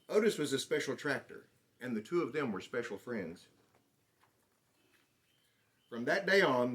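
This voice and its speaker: A man reading a children's picture book aloud in an expressive, dramatic storytelling manner. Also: medium pitch. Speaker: storybook reader.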